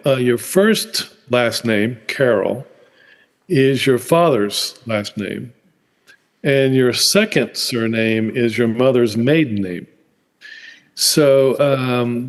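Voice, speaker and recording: An older man answers questions from his office over a video call. He speaks in a measured, explanatory way. His voice carries a long reverb. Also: medium pitch. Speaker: guest, professor. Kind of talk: interview answers.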